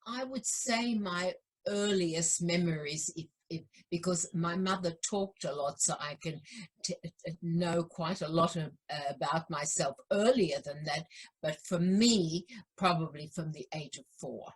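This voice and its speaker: Old lady from austria, low husky voice, talks slow and careful. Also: low-quality recording. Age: 80s. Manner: measured, with small repeats and restarts. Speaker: Holocaust survivor interviewee. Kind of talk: personal testimony. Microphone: video call.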